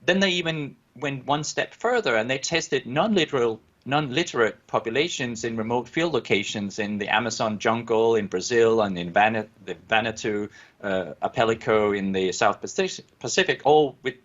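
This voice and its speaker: A man with a Danish accent answers interview questions at a middling pitch. He hesitates often, with fillers and restarts. The audio is dull and lo-fi. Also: normal speed, a bit bright. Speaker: guest researcher.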